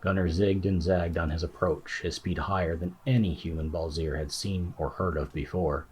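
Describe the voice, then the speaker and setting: A man's voice: low-pitched, fairly even intonation, normal speed, darkish tone, a little hiss or hum. A man reading scripted fantasy narration as an audiobook narrator.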